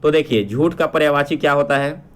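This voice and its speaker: A man's voice: fairly high pitch, a little fast. A man teaching an exam-prep lesson, addressing learners directly and restating each question before explaining it.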